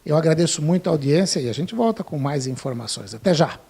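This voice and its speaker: Gravelly formal TV host. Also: male voice, fairly high pitch, expressive, fast talker, a little mellow. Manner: formal, expansive, rhetorical. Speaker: TV talk show host. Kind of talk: studio TV interview.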